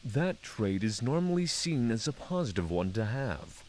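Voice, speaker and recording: A man playing a solemn, courteous dragon lord in a fantasy audio drama, speaking in formal, measured phrases. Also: medium pitch, big pitch swings, darkish tone, close to an everyday voice. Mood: reflective. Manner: formal, measured, thoughtful diction.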